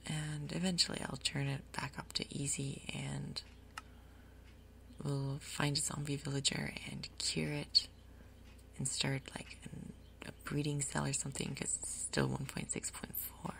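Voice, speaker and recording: A woman gaming host giving soft, whispery ASMR commentary, casually thinking aloud in an unhurried way. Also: sits low, flat delivery. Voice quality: soft, whispery, breathy undertone. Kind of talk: soft-spoken ASMR Minecraft gameplay commentary.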